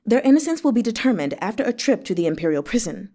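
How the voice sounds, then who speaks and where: A woman's voice: fairly high pitch, sing-song, quick pace, warm tone. A woman voicing a menacing male vice commander of the guard, curt and commanding, in a story reading. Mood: stern.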